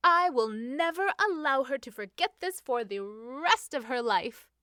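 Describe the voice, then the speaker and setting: Woman doing bratty smug teen sister for an audio story, high and loud, sing-songy. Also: lively intonation, a little slow, clean tone, dry recording, studio quality, full character voice. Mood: smug, gloating. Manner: gloating, sing-song. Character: smug, bossy teenage sister Hyacinth.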